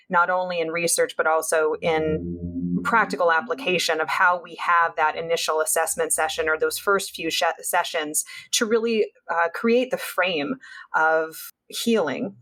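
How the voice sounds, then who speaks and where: A woman's voice: deep voice, steady tone, moderate pace, fairly bright tone, rough recording. A woman hosting a clinical interview podcast, speaking with enthusiasm and occasional fillers. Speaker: podcast host.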